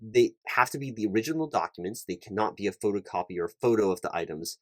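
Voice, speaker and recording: A man's voice: fairly low pitch, animated, fast, no echo, natural voice. A man playing a fussy, officious recruiting manager in a one-sided interview roleplay, rambling and repetitive with pedantic detail. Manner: rambling, repetitive, pedantic, stumbling over long lists. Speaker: ASMR roleplay performer.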